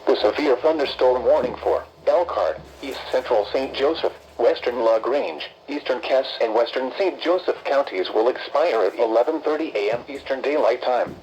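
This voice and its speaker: A synthetic male announcer reads formal emergency weather bulletins in an impersonal, measured way with clipped, list-like phrasing. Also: mid-range voice, expressive, a little mellow. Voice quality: synthetic text-to-speech voice. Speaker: automated weather radio announcer. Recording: dull, noisy weather radio broadcast.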